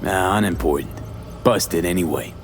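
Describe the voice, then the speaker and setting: An actor playing a streetwise, sardonic young mercenary with an American accent in a video game. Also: male voice, low voice, normal speed, over music, close to an everyday voice. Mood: terse, wry.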